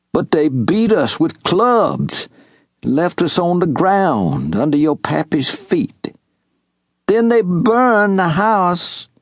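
A man voicing an elderly Southern servant, slow drawl. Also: fairly high pitch, no room sound, studio quality, voice pushed up. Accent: Southern US rural dialect. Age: older. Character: elderly male farm servant, loyal and humble.

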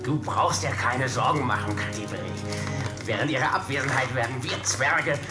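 A man's voice: medium pitch, moderate pace, music in the background, lightly acted. An actor playing a friendly, chatty male dwarf in a radio drama. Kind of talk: German radio drama acting.

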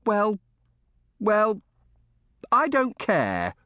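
Storybook orphan boy, played by a man: frail, stubborn, stammering, high and animated, close dry sound. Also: normal speed, no room sound. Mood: hurt, tearful. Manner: stammering, repeating words in protest. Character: frail, upset, stubborn orphaned young boy of genteel household.

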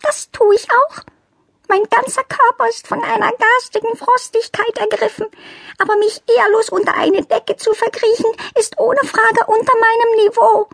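Haughty, very high-pitched lady cat. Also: steady tone, moderate pace, vocal fry, no echo. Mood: suffering, dramatic. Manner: pompous, affected, stilted genteel phrasing. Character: haughty, fussy, self-pitying female cat of noble airs. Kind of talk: children's audiobook character voice.

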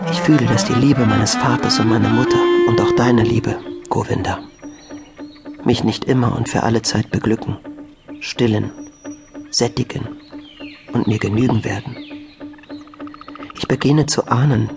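An actor playing a restless, earnest young Brahmin in a literary radio drama, solemn and introspective. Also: male voice, mid-range voice, fairly even intonation, moderate pace, vocal fry, long pauses, natural voice. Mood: restless, pensive. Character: restless young Brahmin man, earnest, brooding, unfulfilled seeker. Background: music.

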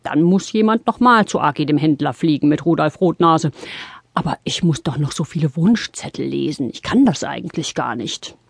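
Old Father Christmas, kindly and flustered, very animated delivery, dry studio sound, children's audio drama. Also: a little high, creaky, husky, dry recording, full character voice. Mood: worried. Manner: hesitant, puzzled, drawn-out exclamations. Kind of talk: children's audio play acting.

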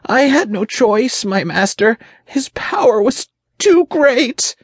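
A man voicing a frightened, servile demon underling with a high, raspy, creaky voice and a timid, halting manner in an audiobook. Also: no room sound, clean studio sound, heavily acted. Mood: panicked, pleading. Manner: timid, halting, whimpering, slow. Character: groveling, frightened male impure spirit, servile demon underling. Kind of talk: dramatic audiobook character voice.

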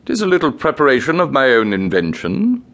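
An unflappable English valet in a radio play. His delivery is formal, precise and deferential, with a dry edge of polite disapproval. The melody of his speech moves widely, at a middle male pitch. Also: huge pitch swings, brisk, fairly bright tone. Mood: courteous, composed. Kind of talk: radio comedy acting.

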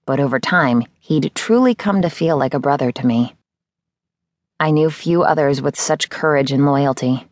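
A woman narrates a novel in the first person. Her voice sits low for a woman, and the sound is dry, with next to no room around it. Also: moderate pace, no room sound. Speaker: audiobook narrator.

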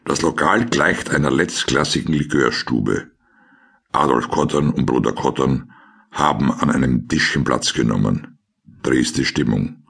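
A man reading scripted scene descriptions as a deadpan radio play narrator. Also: extremely low pitch, a little slow, creaky, husky, exaggerated voice.

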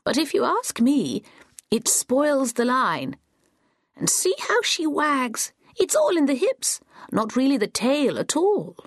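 A woman puts on a muddled old lady in her eighties for this storybook reading. Her voice rises high and wavers slowly through each question, sly and a little unsettling. The sound is dry and close. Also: sing-song, no echo, full character voice. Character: confused elderly woman, sly, doting on dogs.

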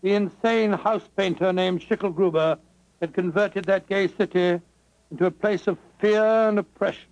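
A man's voice: high voice, steady tone, moderate pace, highs cut off. An actor playing a kindly older English doctor in 1940s radio drama, avuncular and fussy, hemming and hawing as he talks. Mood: genial, reminiscing. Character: kindly, avuncular old English doctor telling his memoirs. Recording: vintage 1940s radio broadcast recording.

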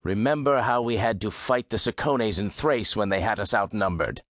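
An actor playing a bold, wary ancient Greek sea captain in an audiobook, with a commanding, declamatory manner. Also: male voice, on the low side, normal speed, fairly bright tone, dry recording, studio quality, close to an everyday voice. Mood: grave, resolute. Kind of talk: full-cast audiobook acting.

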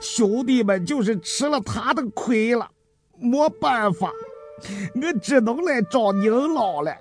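A man's voice: very high voice, animated, soft background music, heavily acted. A man playing a beaten-up underling who whines and pleads deferentially to his boss in an audiobook drama. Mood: aggrieved, whining. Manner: tearful, pleading, deferential, drawn-out. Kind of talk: dramatised audiobook character acting.

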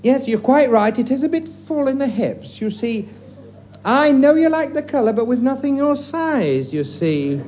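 A man's voice: very high voice, expressive, moderate pace, darkish tone, pitched above the performer's own voice. A man playing a fussy, falsely polite female dress shop assistant in a hesitant, deferential manner in a 1950s radio sketch. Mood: fussy, tactful. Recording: noisy vintage radio recording, audience.

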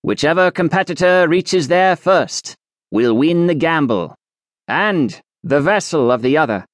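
A man's voice: fairly high pitch, animated, a little slow, no room sound, voice pushed up. A man voicing a pompous male alchemist sage who makes formal, ceremonial proclamations in an audiobook. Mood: proclaiming. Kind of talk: audiobook character voice.

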